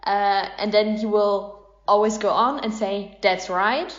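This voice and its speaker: A woman's voice: medium pitch, steady tone, a little slow. A woman hosting a podcast in casual chat, leading an improv game. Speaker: podcast host. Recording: muffled, echoey room.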